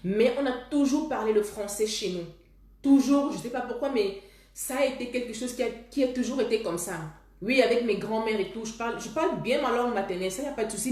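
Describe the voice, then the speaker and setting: A woman's voice: on the high side, a little mellow. A woman from Cameroon, hosting a casual advice talk for viewers, earnest and often restarting her phrases. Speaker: host. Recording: roomy echoey room.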